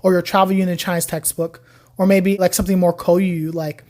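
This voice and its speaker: A woman's voice: on the low side, a little fast. Chatty young woman telling a story. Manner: chatty, animated storytelling with frequent "like". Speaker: podcast guest, Chinese learner. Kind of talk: casual interview chat.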